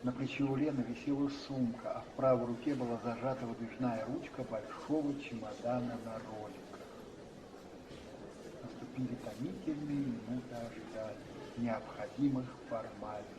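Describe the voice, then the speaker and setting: A man narrating a radio play as scripted, measured storytelling. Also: mid-range voice, steady tone, reverberant, distant mic, background noise.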